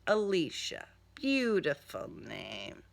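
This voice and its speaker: Woman voicing a slow, suave male serial killer. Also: deep voice, huge pitch swings, vocal fry, heavily acted. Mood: playfully charming. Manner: courteous, formal, unhurried.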